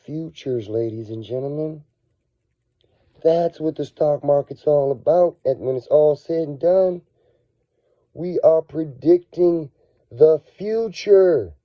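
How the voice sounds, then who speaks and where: A man with a cold, his voice scratchy and raspy, talking casually as a finance vlogger. He swings between low murmurs and excited bursts. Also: takes it slowly, no echo. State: hoarse from a cold. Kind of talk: casual video monologue. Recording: dull, lo-fi sound.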